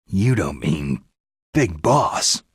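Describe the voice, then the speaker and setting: Gruff veteran soldier, deep, heavily husky, terse, skeptical, sardonic, video game drama. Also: male voice, big pitch swings, drawn-out words, no echo, clean studio sound, exaggerated voice. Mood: skeptical, puzzled. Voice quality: gravelly, husky. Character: gruff, sardonic veteran special forces soldier, adult man.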